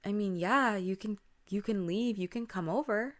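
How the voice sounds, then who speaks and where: A woman's voice: mid-range voice, normal speed, lightly acted. A woman voicing a bewildered, concerned young woman on a late-night call, asking questions and reassuring in casual, hesitant speech. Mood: hesitant reassurance. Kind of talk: spoken scary-story dialogue.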